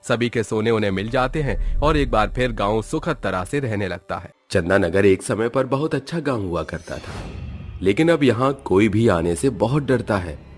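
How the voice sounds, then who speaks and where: A man's voice: fairly low pitch, lively intonation, moderate pace, soft background music. A man reading a scripted horror story as a dramatic narrator.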